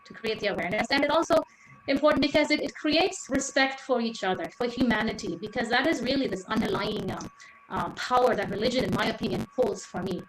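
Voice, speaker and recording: A woman's voice: a little high, quick pace. Earnest woman panelist in an echoey room. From Sri Lanka. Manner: earnest, with many fillers. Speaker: teacher, panelist. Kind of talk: panel discussion remarks. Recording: echoey room, faint noise.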